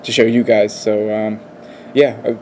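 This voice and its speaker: A man giving a casual hands-on demonstration, explaining things in a hesitant, halting way. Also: lowish voice, little pitch movement, low-quality recording. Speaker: presenter of a radio equipment test. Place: indoors at a wooden workbench. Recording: faint room noise. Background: faint background noise.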